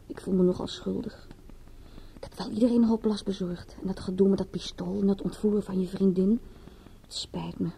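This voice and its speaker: An actress playing a bored, guilty young fashion model in a radio drama, with a breathy, languid, confiding delivery. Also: female voice, medium pitch, steady tone, fairly quick, warm tone, a little hiss or hum, lightly acted. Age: young adult. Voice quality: husky, breathy. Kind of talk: radio drama acting in Dutch.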